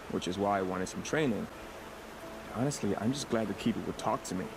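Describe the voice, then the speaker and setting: Guy acting a sad outcast hunter in a game, creaky voice, hesitant, puts himself down, noisy ambience behind. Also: medium pitch, lightly acted. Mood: dejected. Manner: hesitant, halting, self-deprecating. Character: dejected, self-deprecating outcast young tribesman, failed hunter. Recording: clear background ambience noise.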